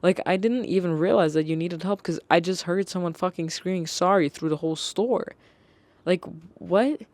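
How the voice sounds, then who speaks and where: A young adult podcast host telling retail stories in a casual, animated way, swearing and acting out customers. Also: medium pitch, normal speed, no echo.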